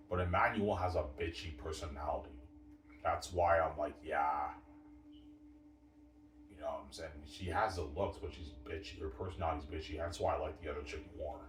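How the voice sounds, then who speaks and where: A man in his thirties telling a rambling personal story, repeating "obviously" and "you know what I'm saying" often. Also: sits low. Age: 30s. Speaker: vlogger. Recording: roomy and distant with faint background hiss.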